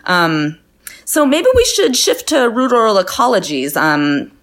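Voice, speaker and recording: A woman hosting an interview, asking her guests questions and answering with quick agreement. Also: medium pitch, sing-song, a bit bright. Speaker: interview host. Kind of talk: conversational interview.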